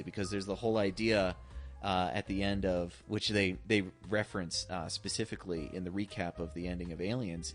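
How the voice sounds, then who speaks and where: Low-voiced man talking film with fannish enthusiasm. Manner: effusive, profane fan talk. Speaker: podcast co-host. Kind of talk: casual enthusiastic film discussion.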